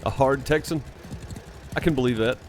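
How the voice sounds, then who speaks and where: Mississippi guy chatting with his stream chat, teasing folks, laughs a lot, mid pitch, bit of faint noise behind. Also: moderate pace, darkish tone. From Mississippi, United States. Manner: chatty and teasing, laughs often, restarts phrases. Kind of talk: casual chat with live viewers.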